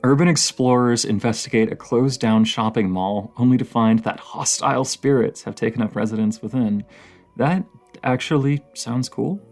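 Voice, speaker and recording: A man playing a creaky-voiced, dorky, playful boyfriend. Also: mid-range voice, normal speed, a little mellow, soft background music, natural voice. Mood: nerdy, casual. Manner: rambling, giggly, hesitant, teasing. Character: dorky, playful young romantic partner, horror film nerd. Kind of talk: intimate audio roleplay addressed to the listener.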